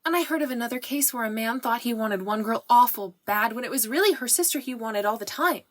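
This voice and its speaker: Excitable, high-voiced young servant girl. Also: a little fast. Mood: anxious, fretful. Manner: breathless, folksy ungrammatical speech. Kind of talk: audiobook character acting.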